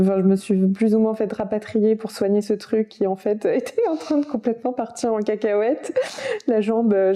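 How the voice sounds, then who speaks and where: A woman's voice: mid-range voice, warm tone. A woman in her thirties, a yoga teacher, talking casually as a podcast guest, hesitant with many fillers and frequent laughs.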